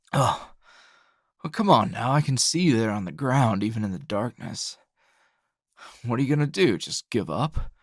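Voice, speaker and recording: A man performs a gruff, teasing male anime hero for an ASMR roleplay. The voice is gravelly and dry, recorded close without room sound. Delivery swings widely in pitch, halting and flirtatious. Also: dry recording, full character voice. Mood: smug, amused. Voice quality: gravelly, husky. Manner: slow, teasing, halting with sighs and chuckles. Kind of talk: ASMR roleplay, intimate scripted acting.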